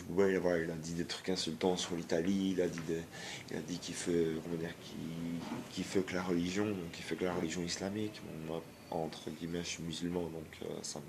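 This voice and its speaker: A man, hoarse from a cold, talks in a deep, gravelly voice. He hesitates often, restarting phrases, yet his judgments come out blunt. A faint hiss of noise sits behind him. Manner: hesitant, many fillers and restarts; blunt, disapproving. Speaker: YouTuber. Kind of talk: casual vlog rant.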